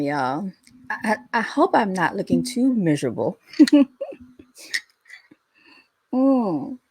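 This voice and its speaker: Slow-talking woman with a scratchy voice. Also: lowish voice, darkish tone, dry recording. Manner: chatty, drawn-out, talks straight to viewers. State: scratchy, under the weather. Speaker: host and founder of the channel. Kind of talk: casual chat to viewers.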